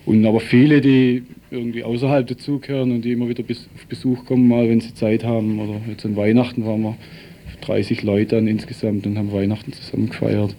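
A man's voice: fairly low pitch, flat delivery, fast talker, warm tone, slight background noise. A man being interviewed as a peace activist, answering informally and searching for his words.

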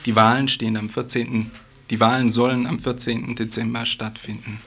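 A man with a husky voice reads a scripted radio news report, stumbling now and then. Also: medium pitch, little pitch movement, brisk, fairly bright tone.

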